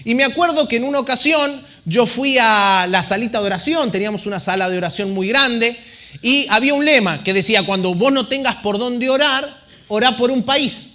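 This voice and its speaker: Missionary man, bright ringing tone, chatty storyteller at a pulpit. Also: very high-pitched, moderate pace. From Argentina. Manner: joking, chatty storytelling to a congregation. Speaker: missionary guest speaker. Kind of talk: church testimony.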